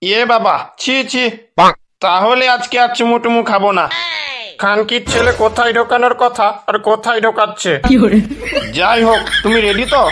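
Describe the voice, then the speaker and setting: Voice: medium pitch, steady tone, moderate pace, crowd noise, natural voice. A performer voicing a lecherous, easily flustered cheating man in a crude comedy cartoon. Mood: lecherous, pleased. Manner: crude, stammering when cornered. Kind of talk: exaggerated comedy cartoon dubbing. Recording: music bed behind voice.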